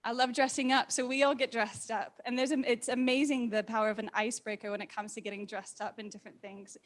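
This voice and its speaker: A woman in her thirties with a mixed, hard-to-place accent, telling personal stories informally and in an animated, candid way as a speaker to a live audience. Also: on the high side, quick pace. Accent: hard-to-place mixed accent, neither clearly American, New Zealand nor Australian. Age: 30s. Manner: animated, candid, acts out quoted thoughts. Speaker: speaker at a community meetup.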